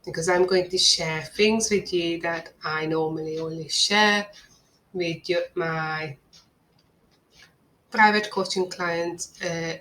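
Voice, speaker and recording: A woman with a Hungarian accent, an agency owner giving a scripted webinar presentation in an earnest, measured, salesy way. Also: fairly low pitch, steady tone, a bit bright, low-quality recording.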